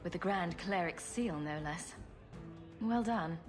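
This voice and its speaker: A woman's voice: fairly low pitch, unhurried, long reverb tail, music in the background, lightly acted. An actress playing a self-assured, wry female adventurer in a video game. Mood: cool, wry.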